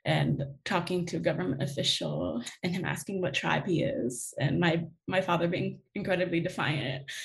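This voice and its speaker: A young woman answering interview questions in a thoughtful, hesitant way, with many pauses and fillers. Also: on the low side, vocal fry, poor audio. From United States. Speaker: guest.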